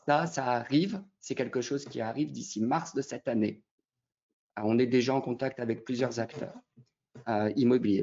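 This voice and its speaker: A man's voice: mid-range voice, a little mellow. Through a somewhat dull, lo-fi recording comes a man with a mid-range voice. He explains things as an interview guest, in a didactic style, often posing a question and answering it himself. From Quebec, Canada. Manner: didactic, poses questions then answers them. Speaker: interview guest, real estate tokenisation company representative.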